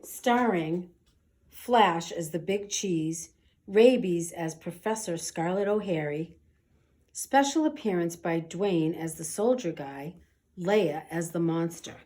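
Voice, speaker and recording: A woman narrating a children's story aloud in an expressive, dramatic and deliberate way. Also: fairly low pitch. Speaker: storybook reader.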